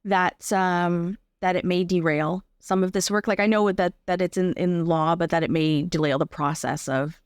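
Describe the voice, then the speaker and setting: A woman's voice: fairly low pitch, steady tone, moderate pace, hardly any pauses, clean tone, dry recording, studio quality. A Canadian woman giving reflective, explanatory answers as a guest in a conversational interview. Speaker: interview guest, performer and advocate.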